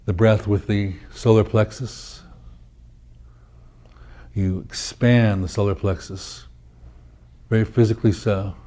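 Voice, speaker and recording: A man teaching guided meditation, speaking in a measured, deliberate way with pauses between short phrases. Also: fairly low pitch, warm tone.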